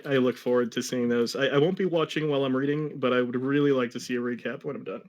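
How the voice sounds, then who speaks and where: A man's voice: medium pitch, steady tone, fast. A man co-hosting a livestream as its reader, talking casually and presenting a story. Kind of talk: casual chat and dramatic reading.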